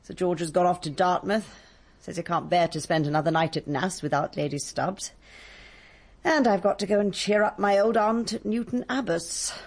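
An actress playing a proper, brisk Englishwoman in a radio drama, with an English accent and a polite manner. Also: lowish voice. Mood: apologetic.

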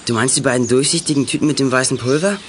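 Man playing a quick-talking, cunning boy detective. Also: mid-range voice, natural voice. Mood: sly. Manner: cheeky, self-assured, brisk. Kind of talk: 1980s German youth radio play acting. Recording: noisy old radio play recording.

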